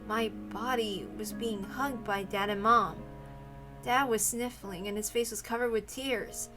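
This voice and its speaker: A woman's voice: fairly high pitch, fairly even intonation, measured pace, over music. A woman reading a visual novel aloud as scripted, dramatic narration.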